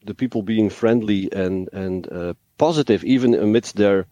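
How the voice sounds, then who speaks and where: A man's voice: on the low side, moderate pace, mellow, no echo, highs cut off. A man answering as an interview guest, explaining things in a careful, somewhat hesitant conversational way. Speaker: interview guest, ministry worker.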